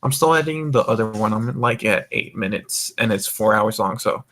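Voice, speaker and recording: A man's voice: fairly low pitch, fairly quick, a bit bright. Young guy hosting a discord call, a bit drunk, rambles and swears, trips over his words. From US Mountain Time zone. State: tipsy. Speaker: voice call host. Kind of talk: casual group voice chat.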